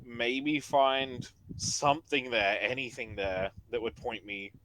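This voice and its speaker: A man's voice: mid-range voice, fairly even intonation, takes it slowly, bright, forceful tone, slight background noise, low-quality recording. A man who plays in a tabletop game, chatting animatedly about his character with laughs and lots of fillers. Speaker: player. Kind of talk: casual post-session chat.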